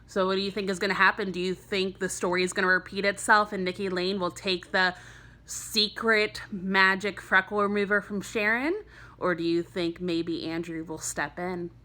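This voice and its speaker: A woman's voice: medium pitch, steady tone, moderate pace, pushed and bright. A woman teacher narrating a children's chapter book expressively for young pupils. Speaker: teacher reading aloud to first graders.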